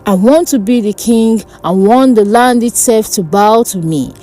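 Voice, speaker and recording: A woman voicing an insecure, power-hungry male village chief in a dark folk tale, blustering and demanding. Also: a little high, a little slow, soft background music. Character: insecure short village chief, man craving absolute power.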